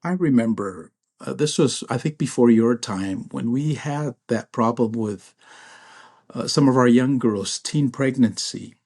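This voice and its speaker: A man's voice: mid-range voice, unhurried, a little mellow. A man hosting an interview as a pastor, speaking in a reflective way with frequent restarts. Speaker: pastor and host. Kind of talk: interview conversation.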